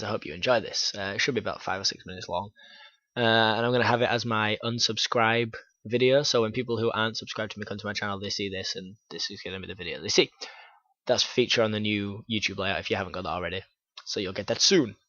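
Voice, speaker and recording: Young man from Yorkshire, low voice, halting and frank vlog delivery, dry dull lo-fi sound. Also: fairly even intonation, sharp tone, no room sound. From Yorkshire, England. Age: young adult. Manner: halting, filler-heavy, frank. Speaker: YouTuber. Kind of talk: personal vlog storytelling.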